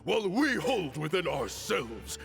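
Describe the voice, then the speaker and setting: Male game role: defiant zealot commander, slow grandiose delivery with big swings, long reverb. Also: a little high, very animated, gravelly, fairly bright tone, faint music behind, heavily acted. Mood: rousing. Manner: grandiose, solemn oratory. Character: proud, defiant male commander of fanatical desert warriors. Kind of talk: dramatic video game voice acting.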